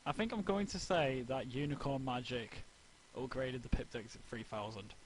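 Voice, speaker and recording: A man's voice: medium pitch, normal speed, slight background noise, low-quality recording. A man co-reading a fan fiction, chipping in with casual banter. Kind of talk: casual banter between read lines.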